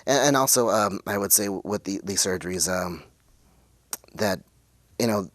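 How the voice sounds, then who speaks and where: Low-voiced man, halting and searching for words. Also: fairly bright tone, no echo, clean studio sound. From West Texas, United States. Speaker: interview guest, intersex speaker. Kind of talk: casual interview talk, personal account.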